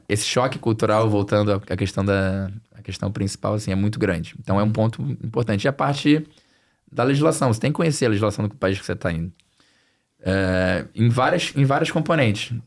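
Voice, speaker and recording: A man's voice: fairly low pitch, a little fast. A man with a Brazilian Portuguese accent slightly mixed with European Portuguese, speaking conversationally as a podcast guest and repeating phrases for emphasis. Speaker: podcast guest, financial planning expert.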